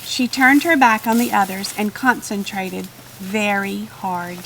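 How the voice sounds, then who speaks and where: A woman narrating a children's storybook aloud in an expressive, measured read-aloud style. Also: medium pitch, noisy background. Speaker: storybook reader.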